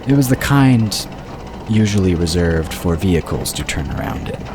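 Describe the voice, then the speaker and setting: A man's voice: low-pitched, big pitch swings, normal speed. A man reading scripted fiction as the narrator of an audio drama. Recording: clear ambient noise under voice.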